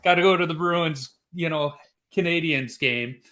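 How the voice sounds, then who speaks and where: A man's voice: a little high, measured pace, sharp tone, a little hiss or hum. A middle-aged man from Chicago hosting a casual podcast, chatty and rambling with restarts. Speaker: podcast host.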